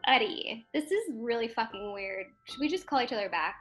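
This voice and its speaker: A woman's voice: a little high, very animated, normal speed, fairly bright tone, soft background music. A woman playing an incredulous, suspicious young woman on an awkward video date in a conversational comedy sketch, sometimes hesitant. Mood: uneasy.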